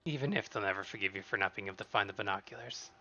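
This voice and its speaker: A man voicing a supportive close friend who is reassuring, frank and gently teasing in story dialogue. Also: lowish voice, very fast. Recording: dull lo-fi sound, faint music.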